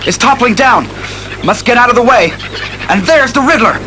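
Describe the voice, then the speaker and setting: A man's voice: extremely high pitch, animated, normal speed. A man playing a steadfast, commanding masked crime-fighter in a resolute, declamatory superhero audio drama style. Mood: tense, alert. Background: dramatic music.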